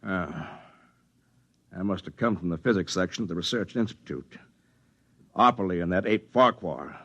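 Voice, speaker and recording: A man's voice: deep voice, normal speed, bright, forceful tone, breathy edge, highs cut off, heavily acted. Smug, bullying fat man, sardonic and domineering, fifties radio drama style. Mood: brusque. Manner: bossy, sardonic. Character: gruff, smug, bullying fat man secretly posing as computer. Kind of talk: 1950s radio drama acting.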